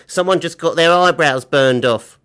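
A man plays a scrawny butcher from a children's storybook. He is a cheeky, cruel henchman, loud and slangy, pitched slightly above an everyday male voice. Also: moderate pace, clean tone, lightly acted. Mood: gleeful. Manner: loud, colloquial, cackling.

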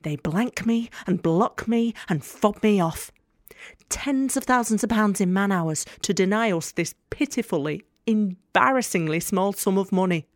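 A woman's voice: mid-range voice, unhurried, dry recording, clean studio sound, close to an everyday voice. A woman acting a bitter young working-class carer in an audio drama monologue, wry, blunt and sarcastic. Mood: indignant, frustrated. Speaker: actor in an audio play.

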